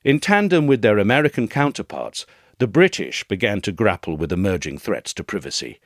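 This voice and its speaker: Deep-voiced man reading an essay, animated intonation. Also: fairly quick, no room sound, clean studio sound. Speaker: audio essay narrator. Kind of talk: scripted essay narration.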